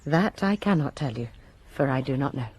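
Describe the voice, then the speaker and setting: An actress playing a frightened young woman servant who answers police questions in a soft, pleading way. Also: a bass voice, expressive, normal speed, breathy edge, no echo, exaggerated voice. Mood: timid. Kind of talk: radio drama acting.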